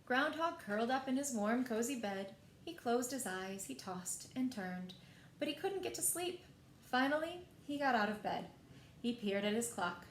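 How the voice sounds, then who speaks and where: Female children's storyteller, lively and expressive picture-book read-aloud, roomy sound with faint noise. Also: on the high side, normal speed. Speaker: library storyteller. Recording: echoey room, faint background noise.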